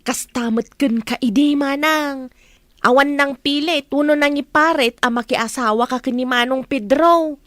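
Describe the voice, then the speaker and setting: A woman's voice: high voice, measured pace, fairly bright tone, no echo, studio quality. A woman with an Ilocano accent playing a candid village woman who reasons with others in a radio drama. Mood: reasoning. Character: candid village woman defending a young bride.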